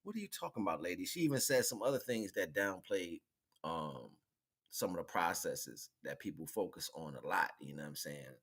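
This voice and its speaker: A man giving a rambling, informal spiritual monologue, preaching in an animated way with repeated phrases and slangy check-ins with his listeners. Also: sits low, moderate pace. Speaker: spirituality vlogger, livestream host.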